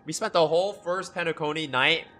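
A man's voice: on the high side, a little fast, bright, forceful tone. A young man reacting live to a game as a streamer, chatting casually in gushing, slangy talk. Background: faint game music.

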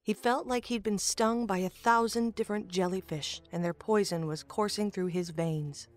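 A woman's voice: mid-range voice, moderate pace. A woman reading scripted narration for a fantasy audio drama. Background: music.

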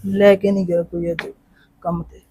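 Casting: a man as a downcast jobless son, quiet and flat-toned, music underneath. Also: high-pitched, normal speed, breathy edge. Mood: dejected. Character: jobless young man, dejected and ashamed. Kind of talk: short-film drama acting.

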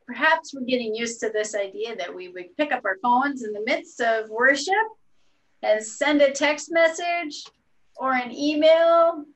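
A middle-aged woman pastor leading an online worship service from a small room at home, speaking gently and encouragingly over a video call. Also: high voice, a little slow, poor audio.

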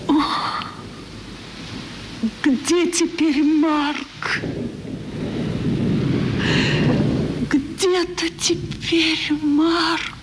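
An actress playing a tender-hearted innkeeper in a vintage radio play, full of breathy exclamations and emotional repetition. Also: female voice, high voice. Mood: anxious, wistful. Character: anxious, tender-hearted innkeeper woman, lonely and devoted. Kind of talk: 1970s Russian radio play acting. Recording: noisy vintage radio drama recording.

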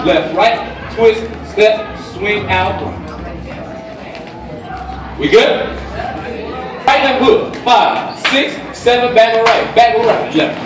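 A middle-aged man teaching a dance class, counting and calling out steps to the group and joking with them. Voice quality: low voice. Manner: counts steps aloud in a steady call, jokes with the class. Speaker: dance instructor. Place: large indoor hall with a crowd of dancers. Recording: echoey hall recorded from far off. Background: crowd noise and room ambience.

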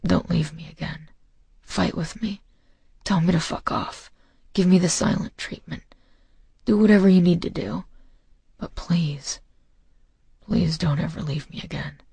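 A man voicing a passionate, devoted male lover in a romance audiobook, hoarse, rough and breathy. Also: sits high, fairly even intonation, measured pace, long pauses, a little mellow, no room sound, full character voice. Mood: desperate pleading.

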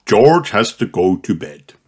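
A man voicing a calm, reassuring father pig in a children's storybook. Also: fairly low pitch, a little slow, breathy edge, heavily acted. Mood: firm. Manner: reassuring, firm.

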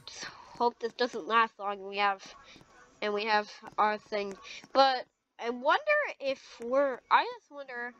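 High-pitched, slow-talking female roleplayer. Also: fairly even intonation, fairly bright tone, dull audio. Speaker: player in a Roblox roleplay video. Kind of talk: improvised game roleplay chat.

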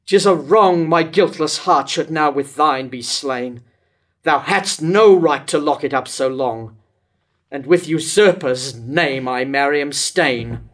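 An older man playing a jealous, wrathful, lovesick king in a staged reading of verse tragedy, declaiming with deliberate dramatic pauses. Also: on the high side, fairly bright tone. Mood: tormented, reproachful. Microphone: microphone on stand at lectern.

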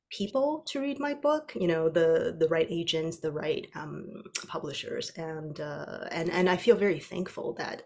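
A woman's voice: low voice, a little mellow. A woman author on a panel, speaking thoughtfully and carefully over a video call from a home study. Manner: thoughtful, hedging. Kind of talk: casual panel talk.

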